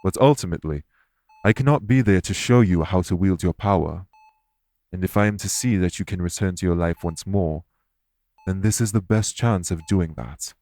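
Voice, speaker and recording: A man playing a courteous former king turned fae official in an audio roleplay, speaking formally and with measured reassurance. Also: deep voice, darkish tone, no echo. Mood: earnest, apologetic. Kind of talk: audio roleplay spoken to the listener.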